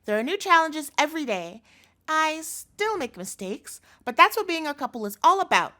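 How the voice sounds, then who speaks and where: A woman's voice: sits high, sing-song, normal speed, pushed and bright, full character voice. A woman playing a doting, possessive yandere girlfriend in a scripted audio roleplay, coaxing and pleading in a cloying manner. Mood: soothing, controlling.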